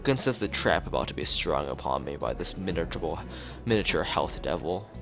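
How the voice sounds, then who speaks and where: A man with a low voice reads a visual novel aloud on a stream. His intonation is very animated, and he breaks into loose, rambling asides, sometimes tripping over his words. Faint music plays behind him. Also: normal speed. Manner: stumbles over read lines and rambles in asides. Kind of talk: let's play read-aloud with casual asides.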